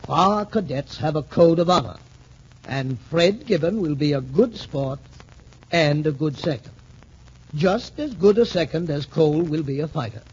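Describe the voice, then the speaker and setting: A man's voice: fairly high pitch, expressive, moderate pace, darkish tone, slightly rough. An actor playing a stern, fatherly academy major, formal and authoritative, in a 1940s radio drama. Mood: admonishing. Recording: muffled, faintly noisy old radio.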